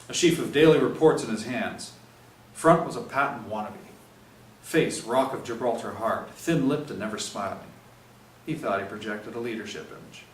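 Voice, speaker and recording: A man reading first-person crime fiction aloud in a hard-boiled, dramatic way with emphatic swings. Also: medium pitch. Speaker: reader of a novel chapter. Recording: roomy, distant mic, faint noise.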